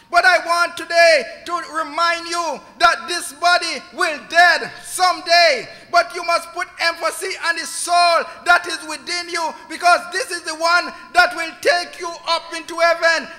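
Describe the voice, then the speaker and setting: A man preaching an impassioned sermon, often shouting in a large, echoing hall. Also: extremely high pitch. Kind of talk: fervent sermon. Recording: long hall reverb.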